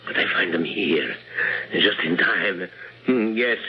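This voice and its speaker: An actor playing a gluttonous, self-pitying aging dictator in a radio drama, with halting, repetitive, grandiose delivery. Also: male voice, low-pitched, breathy edge, exaggerated voice. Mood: grandly commanding. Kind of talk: old-time radio drama acting. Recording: muffled, noisy old radio broadcast.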